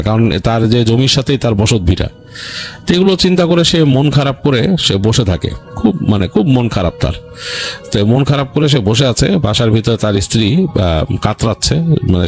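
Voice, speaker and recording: A man with a Bangladeshi accent hosting a story show, narrating in an unscripted, halting, conversational way and often rephrasing himself. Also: medium pitch, expressive. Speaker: horror story show host. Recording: music bed under voice. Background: background music.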